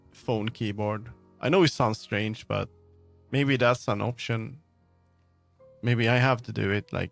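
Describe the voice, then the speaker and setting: A man livestreaming, chatting casually with viewers in a rambling, halting way as he muses aloud. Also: lowish voice, creaky, a bit bright. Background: background music.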